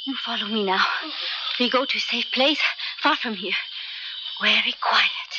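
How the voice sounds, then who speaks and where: An actress playing a loyal, lovestruck young island guide with a foreign accent and earnest, broken English, in a 1970s radio drama. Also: female voice, fairly high pitch, normal speed, lightly acted. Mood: hushed, urgent. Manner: simple clipped phrases, earnest. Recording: muffled noisy 1970s radio broadcast.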